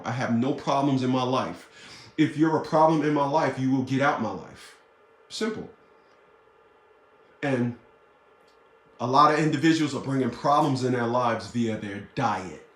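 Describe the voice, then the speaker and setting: A man's voice: medium pitch, normal speed, pauses often, echoey room, recorded from a distance. A man in his fifties talking casually to the camera, blunt and boastful, swearing and repeating himself. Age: 50s. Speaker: vlogger.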